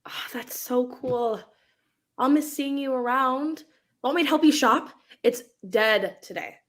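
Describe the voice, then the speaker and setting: A woman's voice: sits high, a little slow. A woman acting a chatty, friendly young thrift store clerk in a dramatic script reading. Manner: casual, chirpy.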